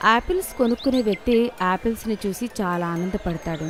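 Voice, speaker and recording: Woman narrating children's story over soft music. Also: mid-range voice, fairly quick.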